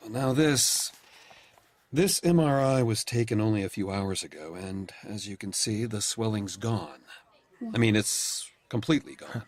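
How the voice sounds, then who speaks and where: An actor playing a patient, clinical doctor who explains test results firmly. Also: male voice, lowish voice, no echo. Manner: measured, clinical, firm. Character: calm, patient male doctor delivering hard news. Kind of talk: audio drama acting.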